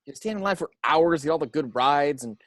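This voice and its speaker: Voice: medium pitch, expressive, brisk, a bit bright, no echo, natural voice. An actor playing a drunk, weary, disbelieving grown man, hesitant and sardonic, in a script read-through. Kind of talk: hobby table read acting.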